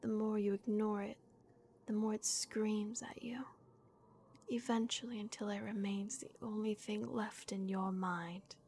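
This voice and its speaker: Woman acting a whispering, slow, gentle vampire guardian. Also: mid-range voice, flat delivery, a little hiss or hum, close to an everyday voice. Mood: tender, reassuring. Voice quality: breathy, whispered with creaky edges. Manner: slow, hushed, hesitant pauses. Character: gentle, remorseful vampire guardian of a lost child. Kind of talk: ASMR roleplay, soft whispering. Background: dark castle ambience.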